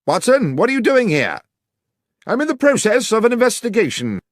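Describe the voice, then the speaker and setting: Guy reading as a bossy brusque detective, clipped raised voice, pitched way up, audiobook, dry sound. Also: wildly swooping pitch, fairly quick, dry recording. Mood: brusque, insistent. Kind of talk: audiobook character dialogue.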